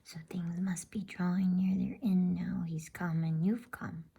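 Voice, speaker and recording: A woman voicing an earnest, folksy male talking beaver in a breathy whisper, declaiming in measured phrases for a bedtime storybook. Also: on the low side, flat delivery, warm tone, exaggerated voice.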